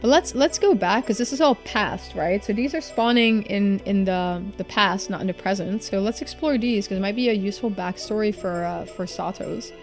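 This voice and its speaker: A woman with a mid-range voice commentates casually as she plays a game. She thinks out loud and checks in with her viewers, with game music running behind her. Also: medium pitch, moderate pace, few pauses. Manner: muses aloud and addresses viewers. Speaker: game streamer.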